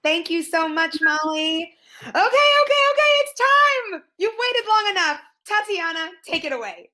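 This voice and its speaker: A woman hosting an event in an upbeat scripted style, with excited, theatrical emphasis. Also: extremely high pitch, normal speed. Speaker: event host.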